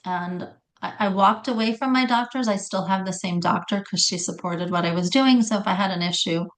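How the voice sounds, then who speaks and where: A middle-aged woman with a raspy voice telling her personal story in conversation, often stopping to correct herself. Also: medium pitch, brisk. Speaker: guest telling health story.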